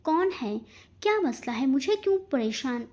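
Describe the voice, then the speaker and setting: A woman's voice: high-pitched, big pitch swings, moderate pace, darkish tone, lo-fi sound. A woman voicing a feisty but easily frightened young woman in a dramatic audio story. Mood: irritated. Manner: stammers when frightened.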